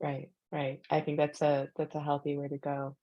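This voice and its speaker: A woman's voice: low voice, completely flat delivery, normal speed, highs cut off. A woman interviewing an author at a bookstore talk, asking questions and summing up her advice in an encouraging way.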